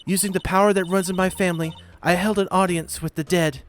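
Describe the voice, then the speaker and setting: A man's voice: high voice, fairly even intonation, fast, voice pushed up, natural voice. A man voicing a solemn, determined young woman in a dramatic amateur reading of video game text. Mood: solemn, bitter. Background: game music.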